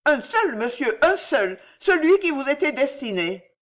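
A woman's voice: on the high side, sing-song, unhurried, pitched above the performer's own voice. A woman voicing a flustered, devout old gossip in an audiobook story, voluble and protesting. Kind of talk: storybook character acting.

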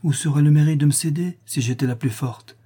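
A man voicing a gentle, pious young woman prisoner who speaks softly and pleads, in a husky voice. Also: fairly high pitch, brisk, pitched above the performer's own voice. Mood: sad, bitter. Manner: soft, pleading, calm.

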